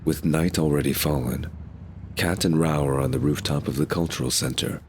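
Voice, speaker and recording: A man's voice: extremely low pitch, fairly even intonation, moderate pace, slight background noise. A man narrating a scripted audio drama in the present tense, as a storyteller. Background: music.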